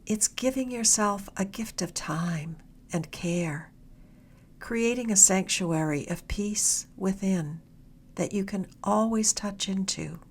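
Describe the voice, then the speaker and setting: A woman leading a guided meditation in a gentle, soothing, unhurried way, her soft voice turning slightly breathy at the ends of phrases. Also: mid-range voice, slight background noise. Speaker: meditation guide, mindfulness mentor.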